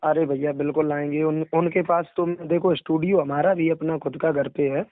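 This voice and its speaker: A man's voice: a little high, fairly even intonation, normal speed, no echo. A young man, a religious storyteller talking casually on a phone call, explaining in a deferential way. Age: young adult. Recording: recorded phone call, narrow dry sound.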